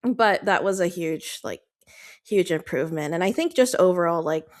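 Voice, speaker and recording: A woman's voice: lowish voice, expressive, moderate pace. A woman chatting casually as a podcast guest, rambling and affectionate, with many fillers. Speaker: podcast guest, dog owner. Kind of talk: casual chat.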